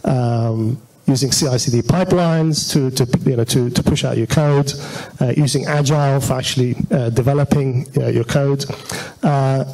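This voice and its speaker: A man's voice: mid-range voice, steady tone, moderate pace, dark tone, low-quality recording. A man giving an informal technical presentation as a conference speaker, talking in a conversational, hesitant way. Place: auditorium stage at a meetup.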